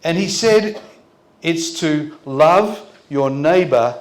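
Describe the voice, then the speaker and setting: A man's voice: a little high, lively intonation, takes it slowly. Older Australian man preaching in an echoey hall. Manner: gentle, speaks in short phrases for translation. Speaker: visiting pastor. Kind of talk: sermon through an interpreter. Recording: roomy hall echo.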